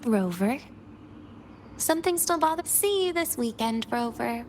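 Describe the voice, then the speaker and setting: A woman's voice: a little high, lively intonation, measured pace, noisy background. A woman playing a wistful, self-deprecating young academy student in an anime-style video game. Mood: gently teasing.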